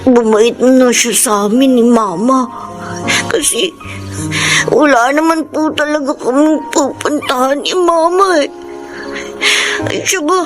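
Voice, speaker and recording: A woman playing a sad, polite little boy in a Filipino radio drama, plaintive and timid. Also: high-pitched. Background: music.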